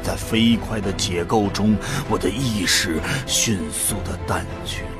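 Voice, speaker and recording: A low-voiced actor playing a man whose consciousness is fading narrates a science fiction radio drama in a solemn tone. He speaks slowly and deliberately, with loud music running underneath. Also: steady tone, close to an everyday voice. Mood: solemn farewell. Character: first-person narrator, a solemn fading consciousness bidding farewell.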